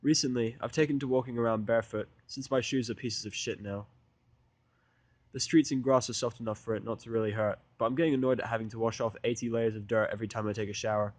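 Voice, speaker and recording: A man's voice: medium pitch, fairly even intonation, fast, no echo. A man reading a first-person story as an amateur audiobook narrator, in a casual, sardonic style.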